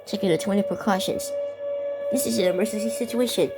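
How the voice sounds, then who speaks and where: A woman's voice: medium pitch, brisk. A young woman narrating a made-up emergency scenario and reading out warnings, stumbling over and repeating words. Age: teenager or young adult. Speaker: amateur video creator narrating a fictional emergency alert scenario. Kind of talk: improvised narration mixed with read-out weather warnings. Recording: loud music behind the voice. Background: loud music.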